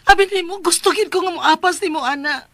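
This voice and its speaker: A woman's voice: extremely high pitch, a little fast, fairly bright tone, dry recording. An actress playing a clingy, lovesick young woman in a Filipino radio drama, pleading and emotive, with a Filipino accent. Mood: lovesick, pouting.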